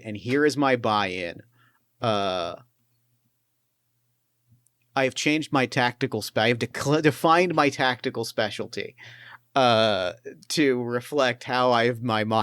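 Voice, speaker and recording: A man's voice: medium pitch, fairly bright tone, smooth, no room sound, studio quality. A man running a tabletop actual-play game as game master, talking with enthusiasm, swearing freely and often restarting his sentences. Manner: enthusiastic, profane, stumbles over restarts.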